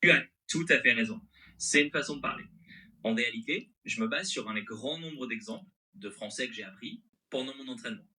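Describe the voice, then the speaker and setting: A man's voice: mid-range voice, animated, a little fast, bright, forceful tone, poor audio. A male AI assistant voice with a mixed French accent and American-sounding intonation, replying in an agreeable, accommodating, conversational way.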